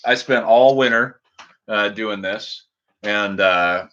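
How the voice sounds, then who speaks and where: An American man in his forties from the upper Midwest, chatting casually and haltingly as host of a livestream. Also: lowish voice, bright, forceful tone. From Minnesota and Wisconsin, US. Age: 40s. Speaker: fishing channel host. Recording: dull, lo-fi stream audio.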